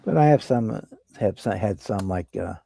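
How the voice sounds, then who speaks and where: Brief: male livestream host, gravelly husky voice, easygoing workbench talk. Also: on the low side, moderate pace. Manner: relaxed, rambling explanations while working. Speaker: model-building livestream host. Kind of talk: casual workbench chat.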